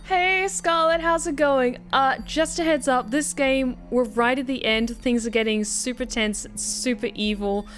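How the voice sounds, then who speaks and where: A woman's voice: sits high, unhurried, few pauses, a bit bright, faint music behind. A woman live-streaming a game, giving casual running commentary.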